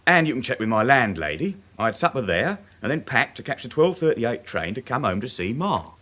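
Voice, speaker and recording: An actor playing a young working-class suspect, cocky and defensive, answering glibly in a radio crime drama. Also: male voice, medium pitch, big pitch swings, brisk, pushed and bright.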